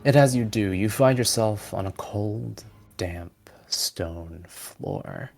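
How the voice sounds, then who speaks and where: A man narrating a tabletop fantasy game as game master, in a deliberate, descriptive storytelling manner. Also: lowish voice, creaky, a little mellow, faint music behind.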